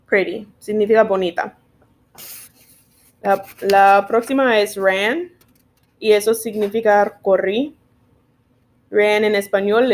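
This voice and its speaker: A woman teaching English sight words in Spanish, spelling each word slowly letter by letter. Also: medium pitch. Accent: Spanish-speaking learner of English. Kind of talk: bilingual spelling lesson.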